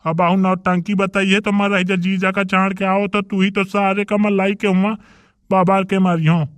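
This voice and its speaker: A quarrelsome rustic man from a comedy cartoon , acted out by a man, speaks in a high, clean voice with a Bundelkhandi accent. He scolds and blusters in a drawn-out delivery, recorded dry and close. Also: little pitch movement, no echo, close to an everyday voice. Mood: defiant, threatening. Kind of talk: dialect comedy cartoon dialogue.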